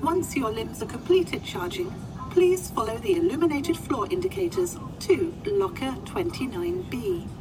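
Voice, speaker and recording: A woman's voice: very high voice, lively intonation, a little slow. A woman voicing a formal, literal-minded, unflappable medical computer in a science fiction audio drama. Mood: polite, helpful. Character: polite, literal-minded female medical ward computer assistant. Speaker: actor in a fiction podcast. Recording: clear background ambient noise.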